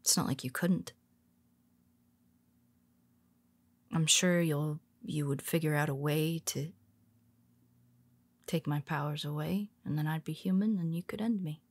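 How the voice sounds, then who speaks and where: A woman voicing a cool, scheming female superhero who quietly confides in her nemesis in an audio roleplay, speaking softly and wryly, often in a whisper. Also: low voice, normal speed, husky. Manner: calm, wry and confiding. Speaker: voice actor.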